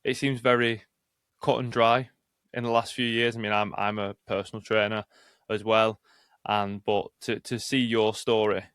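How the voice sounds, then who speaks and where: A man hosting a podcast interview, speaking in a halting way with many restarts. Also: on the low side, flat delivery, a bit bright, smooth, no room sound, studio quality. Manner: halting, repeats words and restarts. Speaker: podcast host, personal trainer. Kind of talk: casual interview chat.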